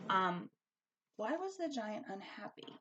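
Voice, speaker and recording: Bright, very animated woman teacher. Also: mid-range voice, takes it slowly, dull audio, slight background noise. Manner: animated, emphatic. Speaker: elementary school teacher. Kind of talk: expressive storybook read-aloud and lesson.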